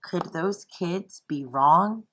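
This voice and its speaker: A woman teacher reading aloud and speaking to children in an expressive, encouraging, deliberate way. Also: on the low side.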